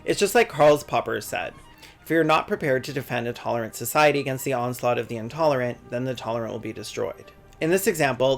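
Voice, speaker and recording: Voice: medium pitch, gravelly. Sardonic video essay narrator. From Canada. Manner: sardonic, conversational, profane.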